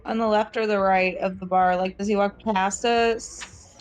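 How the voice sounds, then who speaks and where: A woman playing a tabletop roleplaying game, describing her character's actions and speaking for them in a measured style. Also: mid-range voice, steady tone, faint music behind.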